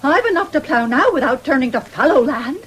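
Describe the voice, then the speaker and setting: An actress in a 1970s radio drama playing a stern, stubborn farming widow who is blunt and forthright. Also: female voice, high-pitched, animated, brisk, a bit bright. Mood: indignant, defiant. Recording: noisy old radio drama recording.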